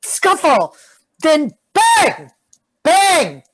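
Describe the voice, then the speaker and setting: Slow, wildly swooping woman calling sound cues. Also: sits high, huge pitch swings. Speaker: sound-effects reader. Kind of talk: reading stage sound cues aloud. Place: home room, live video call performance.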